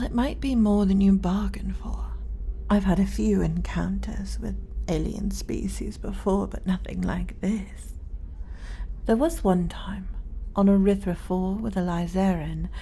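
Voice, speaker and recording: A hushed, intimate whisper from a woman acting as a fascinated female researcher in a sci-fi ASMR roleplay. She muses flirtatiously on alien cultures in a dark, soft tone with a creaky edge. Faint noise and ambience sit behind her. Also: mid-range voice, close to an everyday voice, poor audio. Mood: playful, suggestive.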